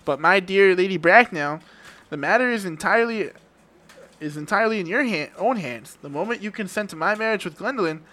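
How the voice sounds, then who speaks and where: Man acting an earnest young gentleman, bright tone. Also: high-pitched, expressive. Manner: formal, measured, courteous.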